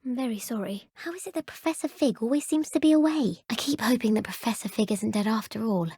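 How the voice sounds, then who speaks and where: A woman's voice: fairly high pitch, fast, mellow, husky, dry recording, studio quality. An actress playing a frank, earnest schoolgirl in a wizarding video game. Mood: questioning. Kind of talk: video game character acting.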